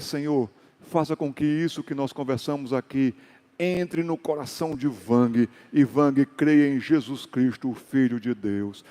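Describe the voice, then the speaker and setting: Pastor guy preaching in a church hall, higher voice, echoey, acts out the conversations then gets loud. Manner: storytelling with acted dialogue and sudden loud exhortations. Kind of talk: sermon.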